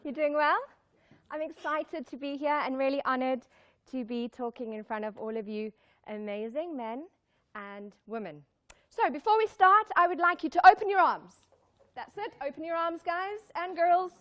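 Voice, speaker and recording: High-voiced woman motivational speaker. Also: moderate pace, fairly bright tone. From born in Zimbabwe, raised in South Africa. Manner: exclamatory, emphatic, repeats key lines, puts questions to listeners. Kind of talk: live motivational talk to an audience. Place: live talk before an audience.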